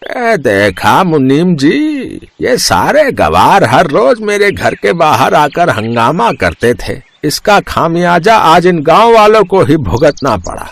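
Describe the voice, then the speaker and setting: A man playing a corrupt, blustering village headman in a dramatized story, indignant and boastful with a creaky voice. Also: on the high side, very animated, dry recording, full character voice. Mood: gloating. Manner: indignant, boastful, drawn-out delivery. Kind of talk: dramatized moral story acting.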